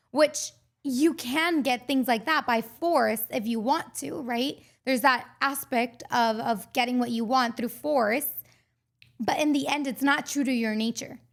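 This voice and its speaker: A woman in her twenties from Costa Rica, a mindset coach talking earnestly as a podcast guest, with many filler words. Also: sits high. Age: 20s. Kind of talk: podcast interview.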